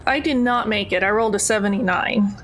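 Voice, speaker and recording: A woman's voice: mid-range voice, brisk, fairly bright tone, a little hiss or hum. A woman chatting informally and a little hesitantly as a player in a tabletop role-playing game. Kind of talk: casual game chat.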